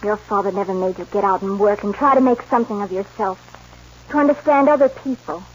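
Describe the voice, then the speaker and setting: An actress playing a principled, firm young rancher's daughter in a 1940s radio western. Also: female voice, medium pitch, a little fast, close to an everyday voice. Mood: stern, heartfelt. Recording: dull, noisy old radio recording.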